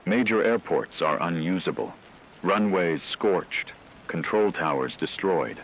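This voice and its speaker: A man acting as a radio news anchor, delivering scripted breaking news in a grave, formal, measured manner. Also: deep voice, expressive, a bit bright, slight background noise, heavily acted, low-quality recording. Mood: grave, urgent. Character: grave male radio newsman reporting an apocalypse.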